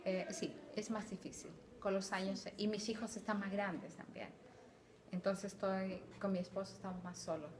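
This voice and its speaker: A woman's voice: mid-range voice, a little mellow, slight background noise. Chilean woman recalling family abroad. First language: Spanish. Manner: thoughtful, with hesitant repeats. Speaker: interviewee, Spanish teacher. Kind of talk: casual interview answers.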